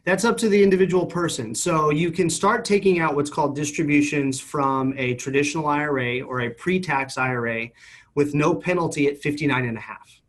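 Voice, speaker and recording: A man's voice: a little high, brisk, fairly bright tone. A man giving explanatory answers in an interview as a financial company representative, matter-of-fact, definite and informative. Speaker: retirement account company representative.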